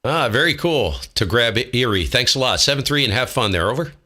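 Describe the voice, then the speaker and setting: A man's voice: mid-range voice, animated, brisk, bright, forceful tone. An American man operating a ham radio, giving call signs and making casual side comments as host.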